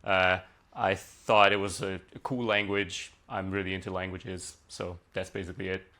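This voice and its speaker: Hungarian-born man, low voice, chatty. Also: little pitch movement, moderate pace, bright, forceful tone. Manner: conversational with frequent uh and like fillers. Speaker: software engineer guest. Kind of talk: podcast interview, relaxed storytelling.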